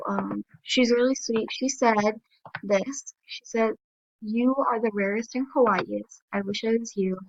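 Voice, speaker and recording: A woman with a slightly high voice hosts a casual gaming video, tired and a little dry-throated. She talks slowly and hesitantly, filling pauses with ums and apologies. The sound is dry and dull, from a lo-fi mic. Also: no echo. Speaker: gaming channel host. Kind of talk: casual game commentary.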